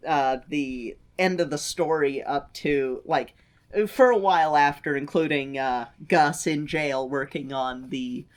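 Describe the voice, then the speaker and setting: Low-voiced, slow-talking woman podcaster. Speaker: podcast co-host. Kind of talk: casual chat about a film.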